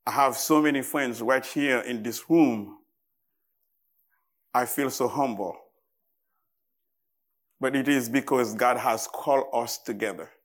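Slow-paced Haitian man preaching. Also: mid-range voice. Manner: halting, deliberate, with pauses between short phrases. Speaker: guest preacher. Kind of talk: sermon to a church congregation.

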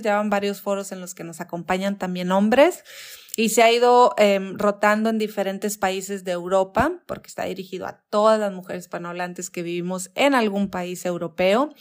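A woman speaking as a radio guest, promoting an upcoming event in an upbeat, inviting way and addressing listeners directly. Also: medium pitch.

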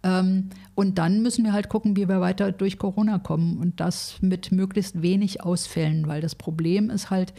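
A woman's voice: medium pitch, fairly even intonation, moderate pace, hardly any pauses, warm tone. A woman in her sixties from Berlin, chatting frankly as an interview guest, with many fillers and restarts. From Berlin, grew up in West Berlin. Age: 60s. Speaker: food bank manager, guest. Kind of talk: casual interview conversation.

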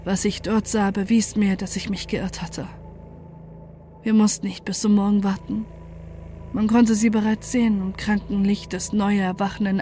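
A woman's voice: medium pitch, warm tone, slight background noise, natural voice. A woman playing a desperate narrator in a first-person horror audio drama, telling it with dramatic intensity and dread. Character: desperate, horrified narrator confronting a mad former friend.

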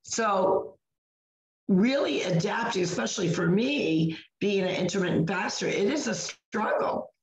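Hoarse, gravelly New woman. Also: mid-range voice, expressive, unhurried, roomy sound, rough recording. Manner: rambling, self-correcting. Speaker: co-host of a wellness podcast. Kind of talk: casual chat.

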